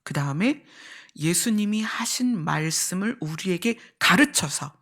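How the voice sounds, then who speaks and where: A woman's voice: fairly low pitch, lively intonation, a little slow, fairly bright tone. A woman pastor preaching and teaching, explaining step by step, repeating key phrases and putting questions to her listeners. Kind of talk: church sermon with teaching and illustrations.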